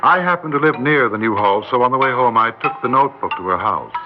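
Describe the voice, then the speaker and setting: Brief: male actor, probing teacher in vintage radio drama, very animated intonation, muffled archival sound. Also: medium pitch, quick pace, natural voice. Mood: measured, recounting. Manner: earnest, insistent, probing. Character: earnest, suspicious male schoolteacher narrating and questioning a pupil. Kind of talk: old-time radio drama acting and narration. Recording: muffled, hissy old-time radio recording.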